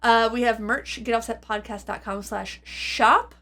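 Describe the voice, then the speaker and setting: A woman's voice: a little high, fairly even intonation, unhurried, fairly bright tone. A woman giving a casual, unscripted review to viewers, speaking in an informal, rambling way and often interrupting herself. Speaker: host of a guitar effects pedal review channel.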